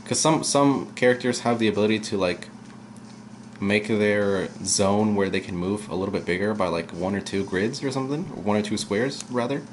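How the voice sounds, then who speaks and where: A young man giving casual, rambling commentary while playing a video game, his voice low and at times monotone. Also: moderate pace, a little hiss or hum. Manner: rambling, chatty, drifts off topic. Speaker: let's play gamer. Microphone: camera aimed at the game screen.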